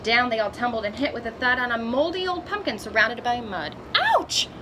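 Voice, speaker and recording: A woman with a fairly high voice reads a children's story aloud. She is expressive and acts out exclamations as she goes, pausing for asides to her young listeners. Clear background noise runs under the homemade recording. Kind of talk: picture-book read-aloud with asides to children.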